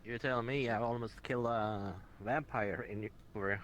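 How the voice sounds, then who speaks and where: A man's voice: mid-range voice, steady tone, unhurried, a bit bright. A man improvising in-character dialogue in an online roleplay game. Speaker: roleplay player. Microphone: home microphone in online game chat. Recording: noisy online voice chat.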